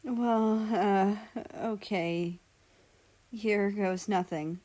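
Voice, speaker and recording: A big, shy young man from a storybook, acted by a woman reader, speaking slowly and haltingly. He is earnest and hesitant. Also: medium pitch, dark tone.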